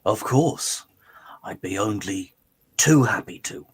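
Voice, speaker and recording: Villainous scientist, sci-fi radio play, male actor. Mock-polite, menacing, slow and husky. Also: lowish voice, lively intonation, full character voice. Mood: mock-polite menace. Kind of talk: live script read, sci-fi radio theatre.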